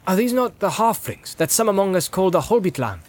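A man voicing a stately old king, formal and courtly, full of measured wonder, in a storybook reading. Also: sits high, very animated, pitched above the performer's own voice.

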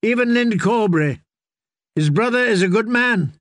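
A man voicing a cunning nobleman in a fantasy audiobook, formal, emphatic and deliberate, with a cold, commanding manner. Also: high-pitched, expressive, fairly bright tone, no room sound, clean studio sound, voice pushed up. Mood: conciliatory. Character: cunning nobleman, coldly angry, commanding, smooth in bargaining. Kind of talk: dramatic audiobook character voice.

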